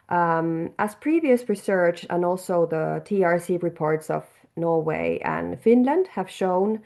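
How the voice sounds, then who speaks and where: A woman hosting a webinar, calmly putting prepared questions to a guest. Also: on the low side, vocal fry. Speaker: webinar host. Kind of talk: interview questions. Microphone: video call.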